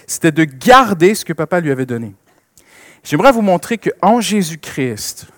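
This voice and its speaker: French Canadian preacher, higher-pitched man's voice. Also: no echo, studio quality. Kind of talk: sermon with personal storytelling.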